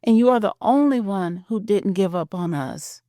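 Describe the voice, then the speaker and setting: A woman playing a devoted, romantic middle-aged husband in an audio drama, speaking softly and earnestly. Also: mid-range voice, animated, moderate pace, no echo, clean studio sound, voice pushed up, lightly acted. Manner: soft, earnest, intimate.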